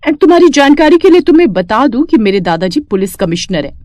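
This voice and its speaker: Woman acting bold girl, cartoon tale, defiant, loud, raised pitch, rapid, threatening. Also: very animated, no echo.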